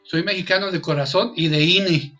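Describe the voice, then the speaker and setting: A man from Colombia talks as a guest in an online interview, in a slightly high voice. He jokes and laughs easily, and his lo-fi call audio sounds dull and muffled. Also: moderate pace, sharp tone, faint music behind. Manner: jovial, joking, laughs easily. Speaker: guest storyteller. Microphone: video call.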